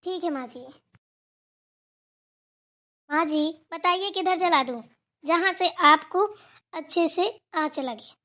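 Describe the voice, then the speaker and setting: A woman acts out a dutiful young housewife in a family cartoon. Her voice is high. She speaks respectfully and agreeably, ready to help her elder. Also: unhurried, sharp tone, heavily acted. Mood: obliging. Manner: deferential, agreeable. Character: dutiful, obliging elder daughter-in-law serving her mother-in-law. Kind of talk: amateur Hindi family cartoon dialogue.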